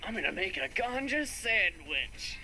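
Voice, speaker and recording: A man's voice: high voice, sing-song, a little slow, very noisy. A man voicing a cocky, laid-back, flirtatious hedgehog in an improvised comedy game dub. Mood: smug.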